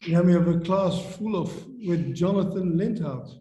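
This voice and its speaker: A man who founded the company, briskly moderating a video-call Q&A and calling on participants by name. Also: sits high, warm tone. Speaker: company founder hosting a webinar. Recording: echoey room.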